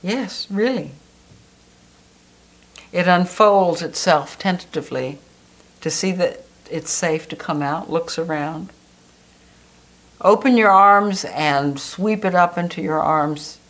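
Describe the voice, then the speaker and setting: Soft slow lady talking you through a meditation, kinda low, gentle and soothing. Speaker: meditation guide. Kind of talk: guided meditation.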